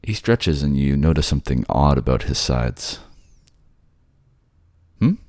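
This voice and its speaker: A man's voice: very deep voice, normal speed, a little mellow, no room sound, clean studio sound. A man with a slightly sleepy voice reading scripted second-person story narration in an ASMR style. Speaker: voice actor narrating a roleplay.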